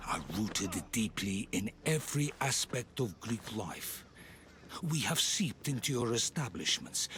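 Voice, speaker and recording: An actor playing an aging, zealous Persian leader of a secret order in a video game, speaking in a grandiose, deliberate manner. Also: male voice, on the low side, fairly bright tone, a little hiss or hum, heavily acted. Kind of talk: video game cutscene acting.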